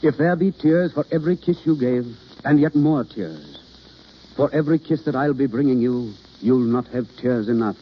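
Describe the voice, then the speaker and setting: Theatrical drama coach, vintage radio play. Very lively intonation, instructive, slightly hesitant, muffled hissy recording. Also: male voice, mid-range voice, normal speed, husky. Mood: romantic, declaiming. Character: male theatre understudy coaching a novice, impatient yet kindly. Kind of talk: old-time radio drama acting.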